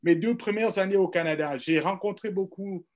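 A man from Cameroon speaking as a guest on a radio panel, formal and measured, with fillers. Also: sits high, dull audio.

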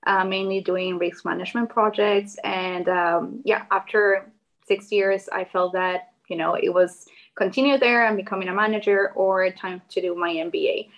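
A woman's voice: mid-range voice, steady tone, moderate pace, low-quality recording. Friendly Peruvian woman, alumni guest. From Peru. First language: Spanish. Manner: friendly, laughs lightly, hesitant fillers. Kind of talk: casual chat.

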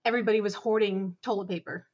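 A woman's voice: mid-range voice, fairly even intonation, quick pace, a little mellow, slightly rough. Woman answering interview questions, lots of ums, kinda dull muffled audio. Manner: earnest, laughs often, many ums. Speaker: podcast guest, audio drama producer.

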